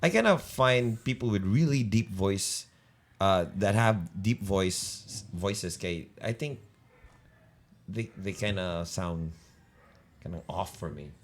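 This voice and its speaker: Deep-voiced Filipino man, halting chat. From Philippines. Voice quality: deep, croaky voice. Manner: hesitant, halting, many restarts. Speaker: podcast co-host. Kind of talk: casual chat.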